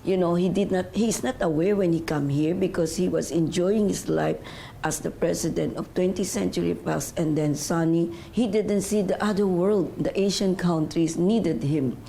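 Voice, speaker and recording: Gravelly, dark-voiced female TV host. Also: on the low side, moderate pace, few pauses, slight background noise. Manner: effusive, loose grammar, repeats "you know". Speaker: talk show host. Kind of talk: television interview.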